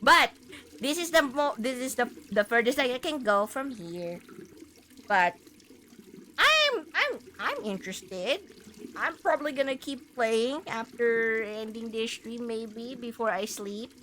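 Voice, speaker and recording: A woman game streamer chatting casually to her viewers in a rambling, halting way, full of filler words. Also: a little high, lively intonation, sharp tone, a little hiss or hum. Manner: rambling, halting, punctuated with "like" and "you know". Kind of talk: casual livestream chat to viewers.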